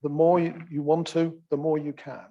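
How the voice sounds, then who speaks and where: A soft-spoken man giving an informal talk at a community meeting, unhurried and gently wry. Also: fairly high pitch, level tone, muffled recording. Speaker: assembly action group member speaking at a community meeting. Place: theatre function room, live event.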